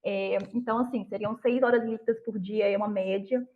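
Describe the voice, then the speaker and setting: A woman's voice: medium pitch, fairly even intonation, brisk, phone-quality sound. A woman in her thirties with a Brazilian accent from Minas Gerais, answering interview questions in an explanatory, conversational way. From Belo Horizonte, Brazil. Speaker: interview guest, lawyer who passed a prosecutor exam.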